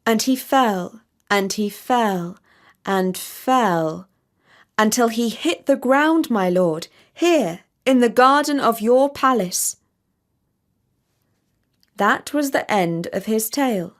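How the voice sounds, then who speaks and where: A woman reads a scripted story for children. Her voice sits a little high, and she takes her time, setting out each phrase slowly and deliberately. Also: expressive.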